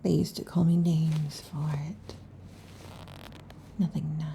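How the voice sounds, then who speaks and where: A woman voicing a restless, affectionate female lamia in an ASMR roleplay, whispering softly. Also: low voice, flat delivery, slow, vocal fry, slight background noise, heavily acted. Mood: whispery, intimate. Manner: soft intimate whispering. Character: restless affectionate female lamia, snake-tailed monster girl.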